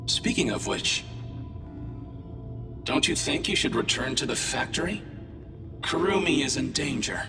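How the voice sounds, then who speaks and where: An actor playing a calm, menacing male schemer in a video game, smug and insinuating, his voice echoing over music. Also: medium pitch, unhurried, pauses often. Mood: sly, menacing.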